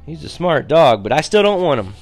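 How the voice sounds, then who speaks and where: A stubborn storybook country boy, voiced by a man, indignant and protesting. His talk is folksy and raised in pitch, with music behind. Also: big pitch swings, fairly quick. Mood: grudging, stubborn.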